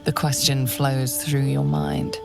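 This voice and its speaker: A woman playing an ominous, all-knowing narrator of a dark fantasy game, grave and theatrical. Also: rumbling low, flat delivery, takes it slowly, vocal fry, music in the background, exaggerated voice. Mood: grim, ominous. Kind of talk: second-person scripted game narration.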